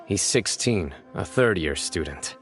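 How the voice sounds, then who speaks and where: Young male enforcer, game character, deepish voice, composed, authoritative, deadpan. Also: unhurried. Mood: composed, matter-of-fact. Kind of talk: video game character acting. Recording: background music under the voice.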